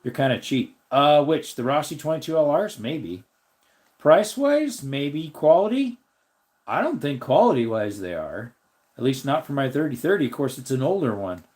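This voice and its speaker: A man hosting a casual live stream, answering viewer comments and thinking aloud as he looks things up. His voice is slightly raspy. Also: on the high side, big pitch swings, normal speed, darkish tone. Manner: rambling, thinks aloud while looking things up.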